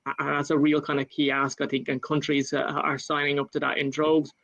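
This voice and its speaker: An Irish man speaks on a panel in a fairly high, flat voice that barely rises or falls. He talks in a measured way, pausing on fillers and restarting phrases. The sound comes over a video call and is dull and lo-fi. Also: creaky. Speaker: panel speaker for a national government. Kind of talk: panel discussion answer.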